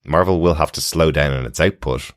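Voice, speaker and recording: A male podcast host with an extremely low voice, recorded close and dry. He talks casually through entertainment news, explaining things in a measured way with frequent hesitant pauses. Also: no echo.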